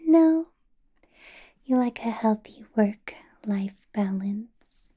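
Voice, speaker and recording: Woman playing a slow, whispering, possessive vampire lady. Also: a little high, expressive, exaggerated voice. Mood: coaxing, possessive. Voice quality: breathy soft whisper. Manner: slow, intimate, flirtatious, coaxing. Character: doting, possessive female vampire boss, smitten and coaxing. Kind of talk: ASMR roleplay, personal attention.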